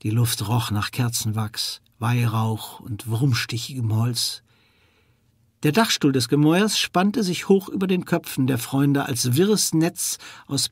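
A man's voice: medium pitch, a little slow, slightly rough, no room sound. A man narrating a suspenseful children's audio drama with dramatic scripted storytelling. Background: music.

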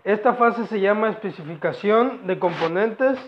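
A young man giving a halting student presentation, explaining project phases and repeating phrases as he goes. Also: high voice, a little hiss or hum. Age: young adult. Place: indoors against a plain wall. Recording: dull lo-fi camera audio.

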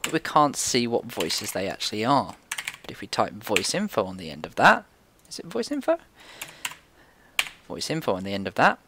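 Male tutorial presenter, informal and very lively in pitch, thinking aloud, faint noise and keyboard clicks. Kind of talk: casual screencast coding tutorial.

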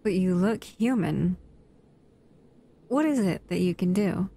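A woman performs a dangerous female monster in an intimate audio roleplay, addressing her prey directly. Her voice is lowish and gravelly with fry, and she draws her words out slowly. She sounds bored and toying, with languid menace. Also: animated, warm tone, full character voice. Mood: aloof, curious. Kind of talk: slow audio roleplay to the listener.

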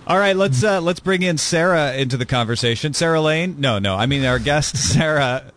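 Animated male podcast host. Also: fairly high pitch, moderate pace, a little hiss or hum. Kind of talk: casual panel chat, leading questions.

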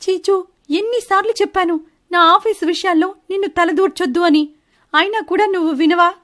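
A woman's voice: very high voice, a little fast, a bit bright. A woman voicing a deceitful female bird wife in a cartoon story, defensive and protesting. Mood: indignant. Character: Chinnu, deceitful married female bird, indignant and evasive. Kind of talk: animated Telugu moral-story dialogue.

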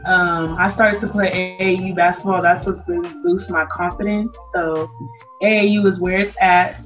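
A woman's voice: on the low side, fairly bright tone. A young American woman from Las Vegas giving casual interview answers as a college athlete on a video call. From Las Vegas, United States. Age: young adult, about 18. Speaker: college basketball player being interviewed. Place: indoor room, on video call. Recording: dull video-call audio, music underneath. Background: music.

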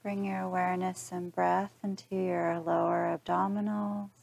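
A woman leading a guided body scan relaxation in a calm, gentle, instructional manner. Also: fairly low pitch, normal speed, creaky. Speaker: meditation guide.

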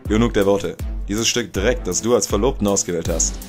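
A menacing mafia don acted by a man in an audio drama. His voice sits very deep as he talks down to people with stern, condescending threat, over faint music. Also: brisk, darkish tone, lightly acted. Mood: contemptuous menace. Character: menacing Italian mafia don, contemptuous protective father.

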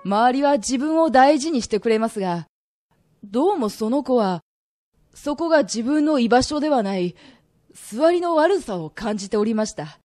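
A suave young merchant man of old Japan, acted by a woman in a radio drama. The voice is high and closely recorded without echo, with polite, deliberate phrasing. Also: lively intonation, mellow, studio quality, faint music behind, close to an everyday voice. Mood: composed, earnest.

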